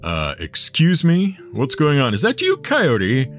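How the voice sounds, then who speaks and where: Man as a huge, slow-talking complaining giant. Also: medium pitch, wildly swooping pitch, faint music behind. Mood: annoyed, slow.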